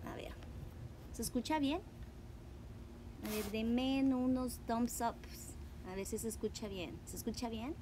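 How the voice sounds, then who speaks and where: A woman's voice: fairly high pitch, a little slow, pauses often. A woman in her thirties with a Salvadoran Spanish accent hosts a casual livestream, chatting informally and a little hesitantly with some English words mixed in. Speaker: art class host. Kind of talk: casual livestream chat to viewers. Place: outdoor patio among trees. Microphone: device mic at about arm's length. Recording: noisy outdoor ambience with traffic hum.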